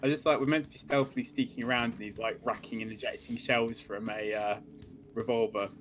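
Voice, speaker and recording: A man's voice: mid-range voice, fairly even intonation, a little fast, music in the background. A man co-hosting a casual gaming playthrough, bantering with wry, mocking asides. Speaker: gaming show co-host.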